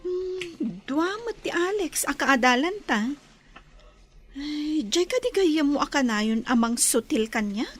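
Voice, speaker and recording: An actress with an Ilocano accent playing a sweet, playful young village sweetheart in a radio drama. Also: female voice, high voice, sing-song, slow pace, exaggerated voice.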